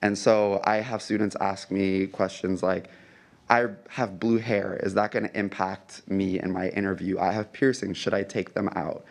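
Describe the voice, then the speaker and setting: A man's voice: low voice, level tone, moderate pace, creaky. A man asking a conversational question from the audience at a talk.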